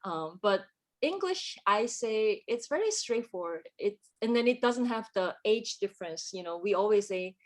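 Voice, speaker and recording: Woman composer, mid-pitched voice, reflective and searching for words, guest in a casual interview. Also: animated, moderate pace. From Korea. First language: Korean. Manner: reflective, searching for words, many fillers and restarts.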